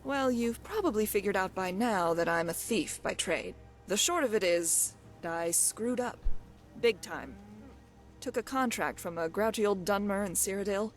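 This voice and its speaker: Actress as roguish woman thief, wry, coy, glib, talkative, fantasy game companion, mid-pitched. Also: animated, moderate pace, soft background music, natural voice. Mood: wry storytelling. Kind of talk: scripted video game dialogue.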